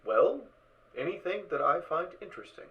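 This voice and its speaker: A man playing a curious, chatty robot watcher in a fan audio drama, with animated, inquisitive delivery. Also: fairly high pitch, moderate pace, highs cut off. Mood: curious, friendly. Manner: animated, inquisitive, hesitant phrasing.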